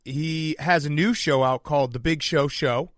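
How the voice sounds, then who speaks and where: Higher-voiced male podcast host. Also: moderate pace, dry recording, studio quality. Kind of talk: casual chat and interviewing.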